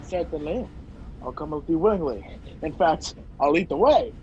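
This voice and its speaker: A man playing a polite, higher-voiced fugitive hero. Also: animated, normal speed, a little mellow, close to an everyday voice. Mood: indignant, wry. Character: hunted young man, polite, quick-talking, indignant fugitive. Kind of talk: radio play acting. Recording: dull, noisy home video call.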